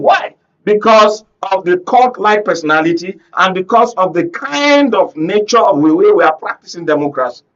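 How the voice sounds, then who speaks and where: A man's voice: high-pitched, a bit bright. A man with a Nigerian accent speaking emphatically as a guest analyst on a political panel, often posing rhetorical questions. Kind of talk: live panel discussion, political commentary. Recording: dull lo-fi broadcast audio.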